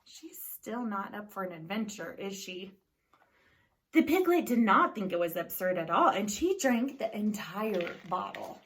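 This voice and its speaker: A woman reading a picture book aloud to children in a deliberate storytelling style, pausing to put questions to her young listeners. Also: medium pitch, expressive, fairly bright tone, poor audio.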